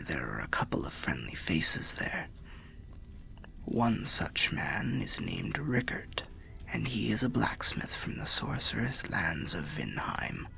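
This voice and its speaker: Man voicing gravelly, whispering undead storyteller. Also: extremely low pitch, bright, forceful tone, exaggerated voice. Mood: confiding, wary. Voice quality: breathy, gravelly half-whisper. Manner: slow, confiding, old-fashioned storyteller phrasing. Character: weary undead storyteller by a bonfire, wry, cautious. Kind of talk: ASMR fantasy roleplay monologue.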